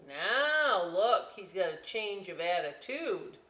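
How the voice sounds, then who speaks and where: A woman hosting a children's storytime and craft session, chatty and expressive, speaking directly to young listeners. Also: medium pitch, slow pace. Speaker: library storytime host. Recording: echoey room.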